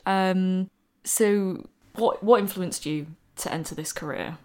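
A woman's voice: lowish voice, a little slow, a little mellow. A woman hosting a podcast interview, asking questions and offering brief, encouraging affirmations. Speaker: podcast host. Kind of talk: interview conversation.

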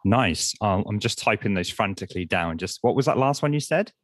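A man's voice: fairly low pitch, expressive, brisk, no room sound, studio quality. A man hosting a tech podcast, giving an upbeat intro and asking casual follow-up questions. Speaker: podcast host. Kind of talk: podcast interview.

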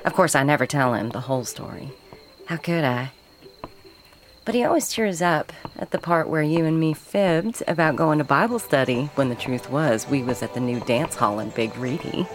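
A woman's voice: sits low, animated, normal speed. An actress playing Honor, a Southern woman with a Southern accent, confiding her thoughts in a letter. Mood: wistful. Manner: wistful, confiding. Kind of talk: narrating a letter.

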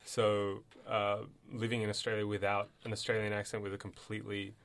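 A man's voice: fairly low pitch, completely flat delivery, fairly quick, fairly bright tone. A middle-aged man from Bangladesh with a mixed accent, speaking candidly and reflectively in a panel discussion.

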